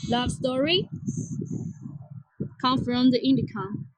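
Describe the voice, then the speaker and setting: A woman giving a product demonstration outdoors near a road, in halting, simple phrasing with many pauses. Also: high voice, low-quality recording. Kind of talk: product demo walkthrough. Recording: loud traffic noise outdoors.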